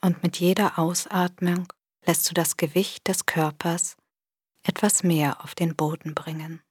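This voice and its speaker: A woman with a soft, breathy voice gently guiding a body scan meditation in a soothing manner. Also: on the low side, fairly even intonation, dry recording, clean studio sound. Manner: gentle, soothing, unhurried instruction with long pauses. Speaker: meditation guide. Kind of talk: guided body scan meditation, scripted narration.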